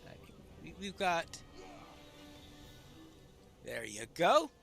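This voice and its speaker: A man's voice: on the high side, huge pitch swings, takes it slowly, vocal fry. A man giving casual video game commentary, with musing, understated asides. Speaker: gamer, playthrough commentator. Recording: faint game music behind.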